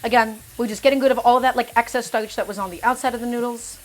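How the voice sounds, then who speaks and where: A woman's voice: on the high side, steady tone, quick pace. A young woman hosting a live cooking stream. She talks to her viewers in a chatty, upbeat way, often calling them "guys" and hyping them up. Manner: upbeat, hypes up the audience.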